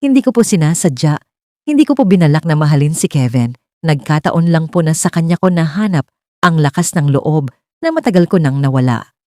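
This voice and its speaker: A woman's voice: sits low, sing-song, a little fast, warm tone, no echo, studio quality. Woman acting a pleading, resolute young widow. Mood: tearful pleading. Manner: respectful, emotional, earnest. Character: long-suffering widowed daughter-in-law, pleading yet resolute.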